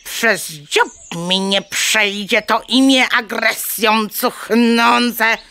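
A woman voicing a bossy, excitable mother hen in a children's audio play, clucking between words and scolding dramatically. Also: a little high, extremely slow, clean tone, exaggerated voice. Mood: lecturing, haughty.

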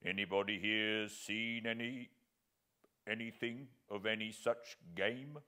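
A man voicing a gruff, hearty middle-aged sergeant who speaks in a bluff, commanding way. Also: on the low side, steady tone, slow pace, pushed and bright.

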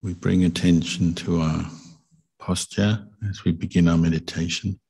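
A man leading a guided meditation as a teacher, speaking calmly and gently with deliberate pauses between short phrases. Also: low-pitched, creaky, husky. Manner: calm, deliberate, gentle instruction with long pauses. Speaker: Buddhist monk meditation teacher.

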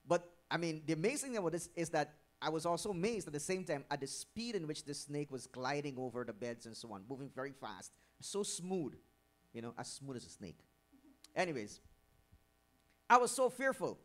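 A man's voice: a little high, very animated, brisk, fairly bright tone. A middle-aged man from Guyana, preaching a sermon into a handheld mic in a church hall, stressing key words, repeating them and asking the congregation questions. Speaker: pastor. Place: church hall with curtained stage. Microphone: handheld microphone.

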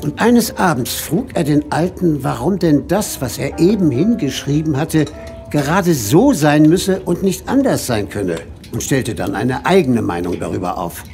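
An actor playing a thoughtful village schoolmaster who tells an old tale in measured, literary phrases for an audio drama. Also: male voice, on the high side, expressive, vocal fry, a little mellow, faint music behind. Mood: measured, absorbed. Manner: measured, literary storytelling with emphatic swells. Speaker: storyteller. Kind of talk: German audio drama narration.

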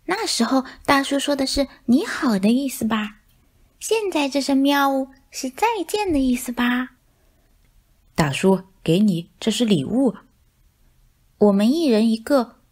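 A woman's voice: on the high side, big pitch swings, a little slow, a little mellow, voice pushed up. A woman voicing innocent little mouse children in a storybook, eager, friendly and childlike. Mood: sweet, cheerful.

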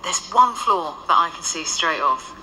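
An actress playing a centuries-old ghost nun in a radio drama, cool, dry and quietly menacing. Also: female voice, on the low side, animated, a little slow, full character voice, poor audio. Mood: calm, dry. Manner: cool, matter-of-fact, quietly threatening.

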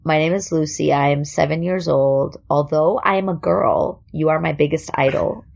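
Lively laughing woman guest. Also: low voice, moderate pace, gravelly. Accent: light New York. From Germany, raised in New York. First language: German. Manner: animated, laughs often. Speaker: podcast guest, comedian and actor. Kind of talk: casual storytelling chat.

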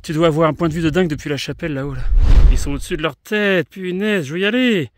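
A man's voice: a little high, quick pace, a little hiss or hum. A French man narrating his outdoor trip on camera, thinking aloud and exclaiming at birds of prey along a mountain trail. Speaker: vlogger. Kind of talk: casual travel vlog commentary. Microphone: action camera or smartphone.

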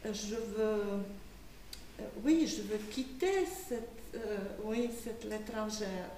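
Echoey older woman with foreign accent, halting. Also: mid-range voice, lively intonation, mellow. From Slovakia. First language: Slovak. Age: 60s. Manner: halting, searching for words. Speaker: writer and panelist. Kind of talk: conversational panel answers. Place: small dim event venue with audience. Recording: echoey room, distant, faint noise.

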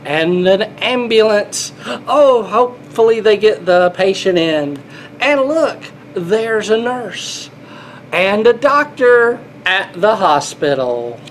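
A man's voice: high voice, animated, slow, a little hiss or hum. A middle-aged man reading a children's picture book aloud, playful and exclaiming, talking as if to small children. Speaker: grandfather reading to children, air traffic controller. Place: small indoor airport control room.

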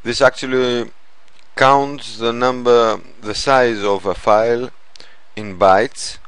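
A man narrating an informal software tutorial in a halting, step-by-step way. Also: mid-range voice, fairly even intonation, smooth, dry recording. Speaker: programming tutorial presenter.

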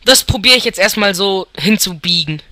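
A man's voice: sits high, lively intonation, moderate pace, bright, forceful tone, no room sound. A young man from Germany giving casual, rambling commentary over a football video game as he thinks aloud and chuckles. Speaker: let's player.

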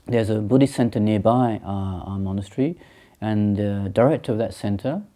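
A man in his thirties, from Sri Lanka and raised in England, a Buddhist monk answering interview questions thoughtfully, with many pauses and restarts. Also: on the low side, dark tone. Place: small indoor room by a shrine.

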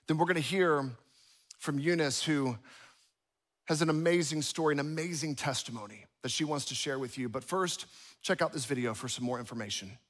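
A pastor hosting a church service from a large stage, introducing the next part on a headset mic. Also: male voice, fairly high pitch, brisk.

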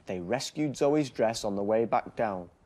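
A British man in his thirties reading a children's bedtime story in a gentle, deliberate, expressive storytelling style. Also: lowish voice. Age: 30s. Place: TV studio set.

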